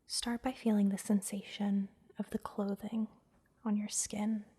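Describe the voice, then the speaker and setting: A woman softly whispering an ASMR guided meditation, giving gentle, soothing instructions with pauses. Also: mid-range voice, level tone. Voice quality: soft, breathy whisper. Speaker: massage therapist and meditation guide, host.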